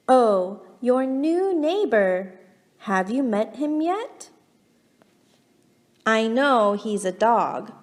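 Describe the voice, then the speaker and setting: A woman reading a children's picture book aloud expressively, coaxing and reacting as if chatting with the character. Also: on the high side. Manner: animated, coaxing, exaggerated reactions. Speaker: children's storybook reader. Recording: long hall-like reverb.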